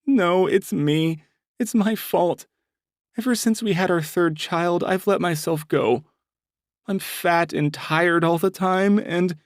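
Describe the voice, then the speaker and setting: A man's voice: medium pitch, lively intonation, unhurried, darkish tone, dry recording, studio quality, voice pushed up, close to an everyday voice. A man voicing a nervous, tearful young married woman who blames herself. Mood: self-blaming. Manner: shaky, self-blaming, nervous.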